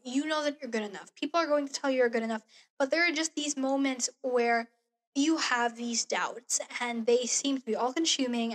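Young woman, high bright voice gone hoarse, gushing earnest vlogger on a close boom mic. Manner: gushing, earnest, gestures while talking. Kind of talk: casual review vlog. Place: small bedroom. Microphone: studio mic on boom arm, close.

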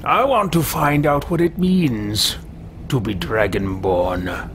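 A man's voice: mid-range voice, slow pace, lightly acted. A man in his thirties voicing a haughty, scheming adventurer who talks in a theatrical, archaic and pompous way and mutters to himself while playing at home. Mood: proud. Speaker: let's-play host. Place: home room with shelves, indoors. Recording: noisy home desk recording.

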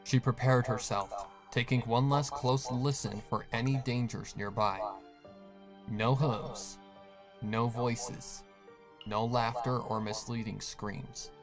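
A man delivers scripted, dramatic narration of a horror tale. His voice sits at an ordinary middle pitch, and music plays clearly behind him. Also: steady tone, takes it slowly. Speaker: narrator of a horror fan fiction.

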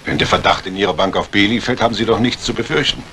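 An actor playing a wary, shrewd doctor in a 1950s radio crime drama, speaking in a dry, measured, laconic way. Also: male voice, fairly low pitch, vocal fry, sharp tone. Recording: noisy vintage radio recording.

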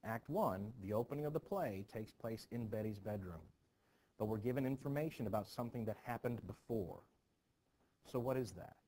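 Low-pitched male teacher, noisy recording. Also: moderate pace. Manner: informal, animated storytelling. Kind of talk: explaining a play to students. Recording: clearly noisy background.

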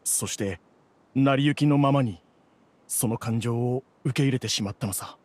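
A man's voice: mid-range voice, normal speed, darkish tone, close to an everyday voice. An actor playing an ordinary, regretful young man in an anime game, earnest and reflective. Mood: apologetic. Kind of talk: anime video game voice acting.

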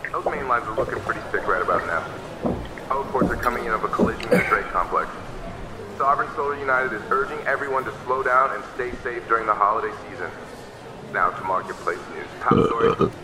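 A man's voice: mid-range voice, fairly quick, background noise, close to an everyday voice. A man playing a chatty futuristic newscaster reading scripted news in an audio drama. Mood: chatty, brisk. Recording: echoey, music behind.

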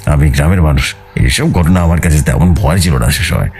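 A man's voice: very deep voice, lively intonation, brisk, a little mellow. A man narrating a horror story in the first person, in a dramatic storytelling style, as the narrator of an audio story.

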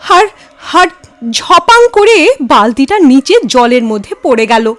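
A woman narrating a ghost story in an expressive, suspenseful, dramatic manner. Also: high-pitched, slow, no echo.

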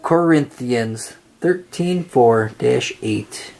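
A storybook reader reading a children's picture book aloud in an expressive, sing-song way with drawn-out phrases. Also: mid-range voice, creaky.

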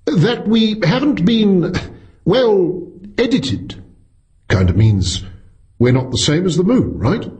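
A man acts out a hesitant, flustered professor in a science fiction audiobook. He halts and draws out his words, and his pitch swings widely. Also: sing-song, a little mellow, highs cut off, exaggerated voice. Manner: halting, stretched words.

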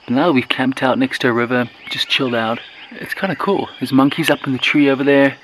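A man in his thirties, vlogging with excitement while talking to a handheld camera in the jungle and inside a huge cave. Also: mid-range voice, fairly quick, a little hiss or hum, rough recording. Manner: enthusiastic, excited, talks straight to handheld camera. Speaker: travel vlogger. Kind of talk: casual travel vlog. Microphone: handheld camera at arm's length.

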